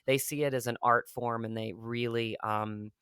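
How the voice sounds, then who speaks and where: A man's voice: medium pitch, fairly even intonation, normal speed, a bit bright, clean tone, no echo, clean studio sound. A man from rural Virginia, an actor guest talking in a reflective, rambling way with many fillers. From rural Virginia, USA. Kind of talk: casual interview talk.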